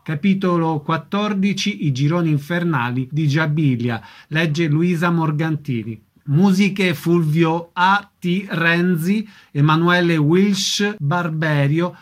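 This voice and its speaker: A male radio host with a somewhat high-pitched voice. He presents from a script and puts questions in the manner of a broadcast interviewer. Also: slow, few pauses.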